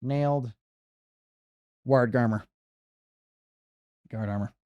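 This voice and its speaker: Male streamer, casual speedrun commentary, warm low tone, dry. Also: slow pace, dry recording, lo-fi sound. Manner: rambling, self-correcting banter.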